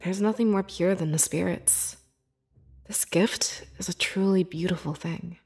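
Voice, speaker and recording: A woman playing a young, devoted beast-folk scribe in a fantasy video game, with earnest, measured and expressive delivery. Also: fairly low pitch, husky, faint music behind. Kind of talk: scripted video game character dialogue.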